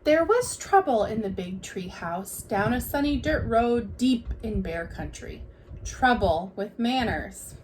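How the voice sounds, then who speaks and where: Female storybook reader, teacher type. High-pitched, unhurried, expressive, with faint noise behind. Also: poor audio. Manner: expressive, deliberate storytelling delivery. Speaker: teacher reading aloud. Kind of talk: children's picture book read-aloud.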